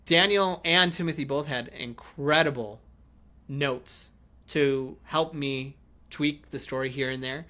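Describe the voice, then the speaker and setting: A man's voice: mid-range voice, slow, pushed and bright. A man hosting a casual podcast chat about writing, speaking in an effusive, informal manner. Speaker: podcast host and writer.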